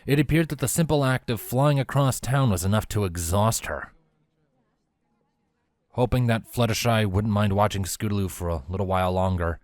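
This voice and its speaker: A man's voice: on the low side, dry recording, studio quality. A man reading scripted story narration as the narrator of a fan fiction.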